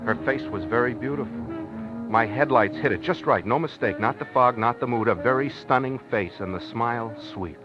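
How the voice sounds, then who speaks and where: Actor as a henpecked 1950s radio husband, muffled recording. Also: male voice, mid-range voice, normal speed, over loud music, natural voice. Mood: wistful, musing. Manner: plainspoken, hesitant, pleading. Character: henpecked, wistful, awkward working-man husband. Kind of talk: 1950s radio drama acting, first-person narration.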